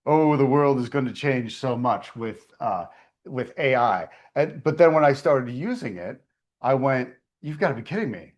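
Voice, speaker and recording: A man's voice: mid-range voice, expressive, moderate pace. A man hosting an online meeting, presenting in a chatty, storytelling way.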